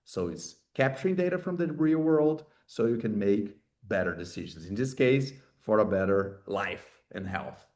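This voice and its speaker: A man's voice: on the low side, lively intonation, moderate pace, few pauses, a little mellow. A man who is a native Portuguese speaker, explaining his points conversationally as an interview guest. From Brazil or another developing Portuguese-speaking country. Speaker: guest expert and author.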